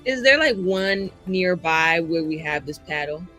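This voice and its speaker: A woman narrating her character's actions casually and haltingly as a player in a tabletop roleplaying game. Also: fairly low pitch, expressive, sharp tone, faint music behind, low-quality recording.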